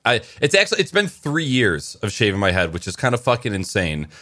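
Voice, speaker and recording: A man hosts a casual podcast, joking crudely with his guests. His pitch is ordinary but very lively, rising and dropping often. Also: fairly quick. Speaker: podcast host. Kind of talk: casual chat.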